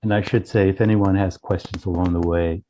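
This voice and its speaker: A man's voice: deep voice, fairly even intonation, brisk, warm tone, a little hiss or hum, poor audio. A man giving an informal product presentation as a company founder, explaining things with frequent self-corrections.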